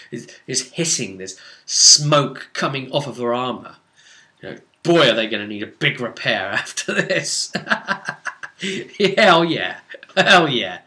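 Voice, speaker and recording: A man with a bright, forceful voice tells the story of a tabletop game session as its game master. His delivery is excitable, and he hammers points home by repeating short phrases. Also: medium pitch. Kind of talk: animated session recap storytelling.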